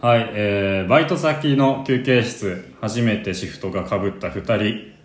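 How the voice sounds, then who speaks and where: A man's voice: lowish voice, a little slow. A man on a radio programme giving brief casual responses and plainly reading stage directions. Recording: roomy, echoey room sound.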